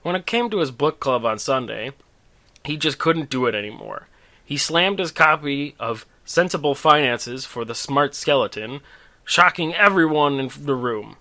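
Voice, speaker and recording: A man's voice: on the high side, lively intonation, normal speed, bright, forceful tone. A man hosting and reading his own humorous story, with wry, conversational asides. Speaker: storyteller and host.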